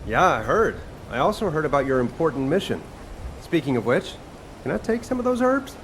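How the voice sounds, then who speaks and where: A man playing an earnest soldier who loves cooking in a video game, expressive and a little hesitant. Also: fairly high pitch, fairly quick, slight background noise, low-quality recording. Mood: curious.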